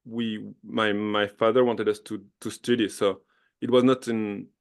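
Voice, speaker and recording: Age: 30s. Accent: French. Low-voiced man. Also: little pitch movement, clean tone. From France. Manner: eager, laughs often, quick restarts. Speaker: podcast guest. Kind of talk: casual interview chat.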